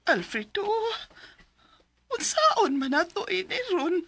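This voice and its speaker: A woman's voice: extremely high pitch, very animated, slow, gravelly, fairly bright tone, a little hiss or hum, exaggerated voice. A woman acting a humble, worried middle-aged mother in a Cebuano Filipino radio drama. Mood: worried, weary.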